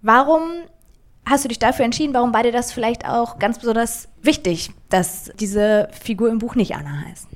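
A woman's voice: on the high side, normal speed. A woman who grew up in Germany hosts a conversational interview. She asks open, thoughtful questions and keeps the talk going with short, affirming murmurs. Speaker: interviewer, host.

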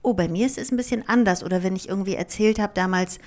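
A woman in her thirties talks openly about her life as a guest in an interview. She was born in Germany, grew up partly in Greece, and her first language is Greek. She speaks in a reflective, unhurried way, with plenty of small fillers and restarts. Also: mid-range voice, a little mellow. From Germany, raised partly in Greece. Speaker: author and performer, guest. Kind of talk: relaxed conversational interview.

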